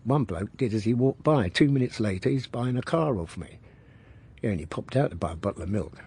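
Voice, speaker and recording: An actor playing a boastful middle-aged working-class car dealer, reminiscing colloquially in a radio drama monologue. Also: male voice, on the low side, animated, a little fast, darkish tone, husky. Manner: colloquial, matter-of-fact storytelling.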